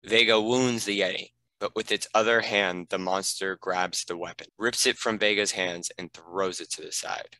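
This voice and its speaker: Male narrator for script directions, deep and crisp-edged, scripted delivery. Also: steady tone, moderate pace, few pauses, bright, forceful tone, no echo, poor audio. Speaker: narrator reading stage directions.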